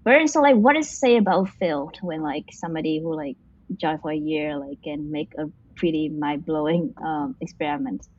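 A woman's voice: low voice, normal speed, darkish tone, husky. A woman from Vietnam, an interview guest working in machine learning, answering casually and hesitantly, with many fillers and restarts. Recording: dull muffled lo-fi audio.

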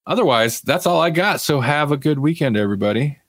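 A man's voice: on the high side, expressive, brisk, no room sound. A man hosting a podcast in casual, laid-back, informal chat. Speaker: podcast host.

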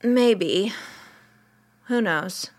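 A woman's voice: medium pitch, lively intonation, very slow. A woman playing a wry, haunted, sleepless ER doctor who narrates a horror audio drama in the first person. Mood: measured. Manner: wry, brooding, literary. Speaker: narrator and lead character.

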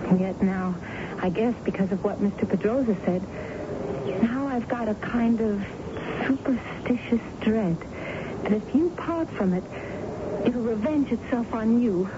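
A woman's voice: a little high, unhurried, natural voice. An actress playing a wistful, hesitant young woman in an earnest radio drama style. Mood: uneasy, earnest. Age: young adult. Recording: muffled, noisy old radio broadcast.

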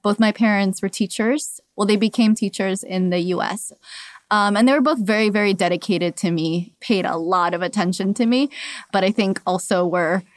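A young adult woman, born in Cuba and raised in Miami, speaks at a middle pitch as she reflects on family. She laughs lightly between thoughts and sometimes restarts a sentence. The recording is dry and close, with no room sound. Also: no echo. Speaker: podcast guest. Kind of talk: personal storytelling in an interview.

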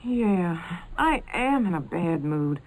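Very animated, homesick young wife. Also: female voice, on the low side, slow, poor audio. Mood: exasperated. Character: irritable, homesick young American wife and mother. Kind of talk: acted domestic radio spot.

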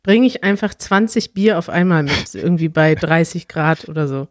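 A woman's voice: low voice, normal speed, no echo, clean studio sound. A woman co-hosting a podcast in casual chat, talkative and thinking aloud as she explains. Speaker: podcast co-host.

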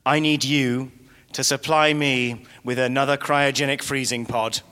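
A pompous failed writer, echoey hall. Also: male voice, mid-range voice, fairly even intonation, a little slow, sharp tone, clean tone. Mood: grandiose. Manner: pompous, stammering when flustered. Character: vain self-pitying failed writer from the past. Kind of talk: live audio comedy acting. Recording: echoey hall, live stage.

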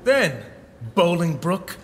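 A man's voice: high voice, very animated, slow, a little hiss or hum, exaggerated voice. A man playing a proud, hot-blooded accused duke, speaking Shakespearean verse in an emphatic, formal, declamatory way. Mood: indignant. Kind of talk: Shakespearean verse drama acting. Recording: long echoing hall reverb.

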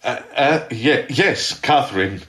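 An earnest, awestruck scientist in an audio drama, acted by a man. He speaks with emphatic enthusiasm at a middle pitch in an echoing room. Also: lively intonation, slow pace, background noise. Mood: proud, excited. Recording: roomy, echoing sound.

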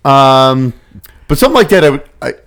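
A man's voice: medium pitch, very animated, a bit bright. Guy cohosting a gaming podcast, bouncy voice, reads release dates, laughs a lot. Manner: laughs easily, lists releases with quick asides. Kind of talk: casual chat.

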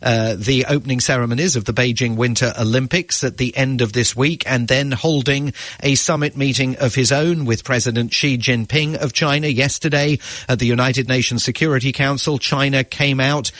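A man reporting live as a radio news correspondent, with measured, emphatic phrasing. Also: medium pitch, fairly even intonation, a bit bright, no echo, studio quality.

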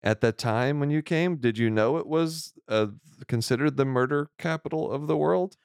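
A man's voice: a little high, normal speed, smooth, no room sound, clean studio sound. A man hosting a casual interview, chatty and joking, with frequent restarts. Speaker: podcast host.

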